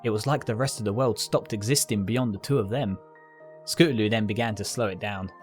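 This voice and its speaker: A man's voice: on the low side, brisk. A man reading scripted story narration as the narrator. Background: music.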